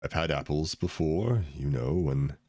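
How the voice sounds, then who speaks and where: Very deep-voiced male game master. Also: mellow, dry recording, studio quality. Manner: hesitant, many pauses and fillers. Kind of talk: narrating a tabletop game.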